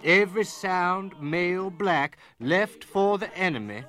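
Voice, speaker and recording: A man's voice: sits high, expressive, measured pace, bright, forceful tone, soft background music, full character voice. A man playing a stern, calculating Confederate officer, delivering a military letter in a formal, declamatory theatrical reading. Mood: stern, urgent. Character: stern, calculating male Confederate officer reporting to his general. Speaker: actor in a dramatic reading.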